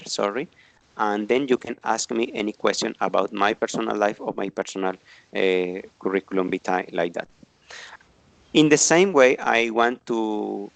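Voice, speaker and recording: A Colombian man in his forties, a native Spanish speaker, introducing himself to his English class. His low voice is smooth and resonant. He speaks in a measured, careful way with pauses, and the recording is close and dry. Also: no echo, low-quality recording. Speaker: English teacher.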